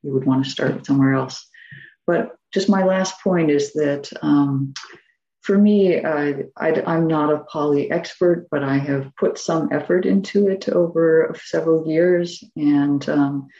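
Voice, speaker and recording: A woman's voice: deep voice, a little slow, mellow, roomy sound, dull audio. A woman meditation teacher speaking earnestly and personally, hedging her points with fillers. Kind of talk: dharma class talk.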